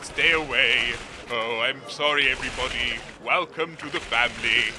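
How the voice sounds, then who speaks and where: A man's voice: medium pitch, normal speed, noisy background, lightly acted. A man voicing a huge, lonely, blobby mutant monster, meek, polite and pleading, in a comedy audio drama. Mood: sorry, dejected. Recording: music loud behind the voice.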